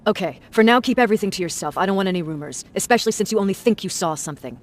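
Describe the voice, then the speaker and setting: A woman's voice: mid-range voice, expressive, racing, a little hiss or hum, close to an everyday voice. A woman playing a tough, battle-hardened resistance commander in a video game, giving terse, demanding orders. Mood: stern, controlled. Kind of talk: dramatic video game acting.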